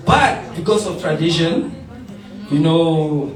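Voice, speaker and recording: A man's voice: fairly high pitch, expressive, slow pace, distant mic. A young man from Namibia telling stand-up stories on stage, often laughing through his own lines and repeating himself. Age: young adult. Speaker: stand-up comedian. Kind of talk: storytelling stand-up comedy. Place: bar stage before a live audience. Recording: echoey bar, heard from afar, loud crowd.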